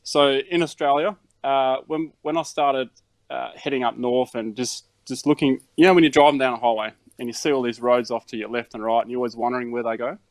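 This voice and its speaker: A middle-aged man from Denmark, a guest on an interview show, telling stories in a relaxed way with plenty of fillers and chuckles. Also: mid-range voice, brisk, no room sound. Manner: laid-back storytelling with fillers and chuckles. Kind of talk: casual chat.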